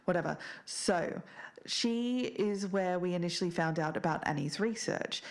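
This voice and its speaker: A woman playing an earnest, faintly bitter podcast investigator, speaking in a measured, solemn way as if reading evidence aloud. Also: lowish voice, lightly acted. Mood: irritated. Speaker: podcast co-host. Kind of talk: scripted fiction-podcast narration.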